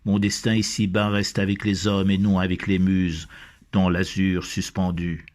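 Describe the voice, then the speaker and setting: A man reciting poetry in a solemn style, with measured, deliberate phrasing. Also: sits low, monotone, darkish tone.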